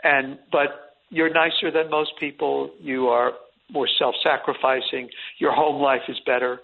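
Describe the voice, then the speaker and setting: Husky middle-aged man on a phone line. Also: mid-range voice, level tone, a little slow. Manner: earnest, rambling, with many restarts. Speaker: guest. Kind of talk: earnest interview talk.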